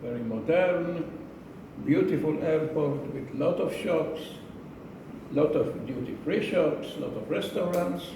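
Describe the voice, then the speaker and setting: Male club speaker, deliberate and unhurried, repeats key phrases; recorded far from the mic in a noisy room. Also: fairly high pitch, very echoey. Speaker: club speaker giving a speech. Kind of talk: prepared club speech to a live audience.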